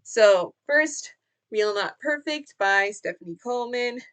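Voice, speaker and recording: A young woman talks through her reading picks in a relaxed vlog, her voice sitting fairly high. She rambles warmly, trips over her words and corrects herself often. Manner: chatty and rambling, with frequent fillers and self-corrections. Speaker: book vlogger. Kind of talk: casual book tag vlog.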